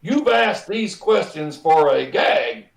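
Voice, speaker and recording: A man playing a flustered, blustering boss and henpecked husband in a radio comedy, halting and sputtering in ungrammatical speech. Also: a little high, expressive, gravelly, far from the mic, full character voice. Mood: dismissive. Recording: roomy, echoing sound.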